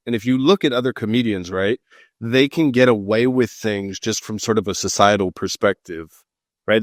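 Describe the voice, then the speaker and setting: A man's voice: mid-range voice, dry recording, studio quality. A man from Los Angeles, a psychic and mentor, chatting loosely and jokingly as a podcast guest. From Los Angeles, United States. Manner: relaxed, joking, loose conversational talk. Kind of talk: casual podcast interview.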